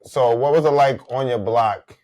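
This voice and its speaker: A man's voice: medium pitch, moderate pace, a little mellow, poor audio. A man hosting a podcast, asking questions in a teasing, slangy, casual way. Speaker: podcast host. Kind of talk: casual chat.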